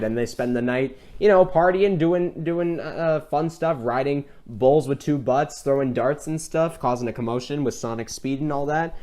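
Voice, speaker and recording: Male podcast co-host, casual film-chat style, animated and rambling storyteller. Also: mid-range voice.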